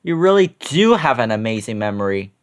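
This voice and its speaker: A man's voice: mid-range voice, big pitch swings, fairly quick, smooth, pitched below the performer's own voice, close to an everyday voice. A man voicing a mild, flustered male substitute teacher in a children's storybook. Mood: calm.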